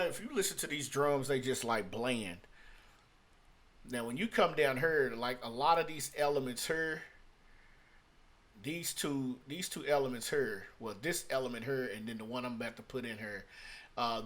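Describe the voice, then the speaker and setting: A man's voice: mid-range voice, a bit bright. A man giving a casual tutorial as a music producer, thinking aloud in a rambling way and often repeating his phrases. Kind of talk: casual screen-recorded tutorial talk. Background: hip-hop beat loops playing.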